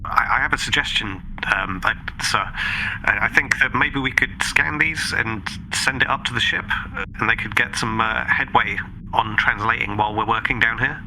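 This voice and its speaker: Bright, forceful man role-playing. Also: mid-range voice, moderate pace, slight background noise, rough recording. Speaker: tabletop game player. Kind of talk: casual in-character role-play.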